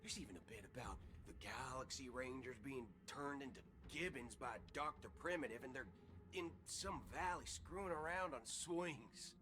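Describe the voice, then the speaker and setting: An actor playing a cocky space cowboy gunslinger in a video game, with a taunting, folksy manner. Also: male voice, medium pitch, normal speed, sharp tone, lightly acted. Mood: amused menace.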